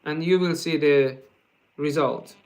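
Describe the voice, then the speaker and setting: A man from Iran with a Persian accent, hosting a guitar livestream and answering viewer questions in a casual, halting way, full of fillers and restarts. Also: on the high side, a little mellow, rough recording. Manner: laughs often, joking.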